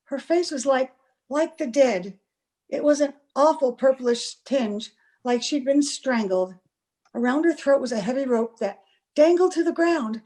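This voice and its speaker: A distraught young woman in a vintage-style radio horror play, performed by a woman. The voice sits high, frightened and pleading, with melodramatic emphasis. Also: darkish tone. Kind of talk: old-time radio horror acting. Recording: home video-call recording.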